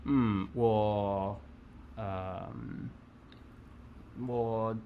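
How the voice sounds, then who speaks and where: A man chatting as a guest on a video call, speaking haltingly with many fillers. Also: on the low side, fairly even intonation, phone-quality sound, slight background noise. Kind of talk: casual multilingual chat.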